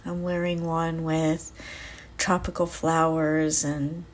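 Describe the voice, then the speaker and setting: A woman leading a guided visualization in a soft, breathy, soothing voice, leaving long pauses between phrases. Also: deep voice, flat delivery. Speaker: guided meditation host. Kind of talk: guided visualization meditation, first-person.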